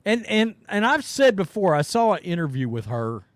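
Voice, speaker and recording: A middle-aged man co-hosting a casual chat show, rambling and trailing off quietly at the ends of thoughts. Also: sits high, sing-song, moderate pace, no room sound, clean studio sound. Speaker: podcast co-host.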